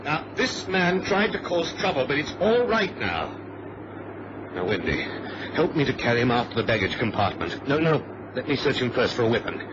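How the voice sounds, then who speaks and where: A man playing a brisk, commanding airline co-pilot in a radio drama, on a muffled, noisy recording. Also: medium pitch, big pitch swings, close to an everyday voice. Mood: urgent. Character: brisk, cocky male airline co-pilot, ex-paratrooper. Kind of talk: vintage-style radio drama acting.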